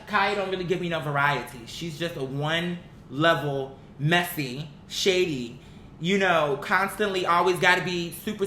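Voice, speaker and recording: An online vlogger giving casual, opinionated commentary in a blunt, emphatic way, slangy and full of profanity. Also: medium pitch. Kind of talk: casual opinionated commentary, unscripted talk to viewers. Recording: echoey room, bright sound, faint background noise.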